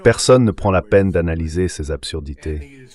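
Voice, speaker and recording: A man's voice: deep voice, moderate pace, a little mellow. A man's synthetic dubbing voice giving political commentary as an interview guest.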